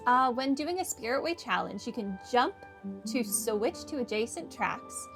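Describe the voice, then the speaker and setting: A woman streams a game in a fairly high voice. Her commentary is casual, with dry, offhand reactions, and music is clearly audible behind her. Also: expressive, a little slow. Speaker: gaming streamer. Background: video game music.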